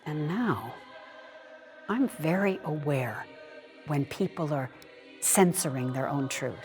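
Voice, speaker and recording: An actress playing an earnest, reflective senior woman, speaking deliberately in an expressive dramatic monologue. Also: low voice, faint music behind. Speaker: actor reading seniors' writing.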